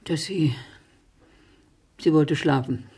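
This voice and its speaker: Mournful aunt in a radio drama, raspy smoky low voice, halting, slow as anything. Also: female voice, exaggerated voice. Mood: anguished, haunted. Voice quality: husky, low, breathy. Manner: halting, hushed, dwelling on words. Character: grieving, smoking aunt remembering a deathbed. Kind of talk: intimate radio drama monologue.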